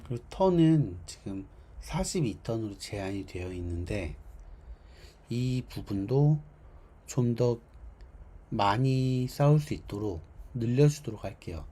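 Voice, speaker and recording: A man with a hoarse, worn voice giving casual livestream commentary as a game developer, thinking aloud haltingly. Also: mid-range voice, animated, dark tone. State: hoarse, strained voice from overuse.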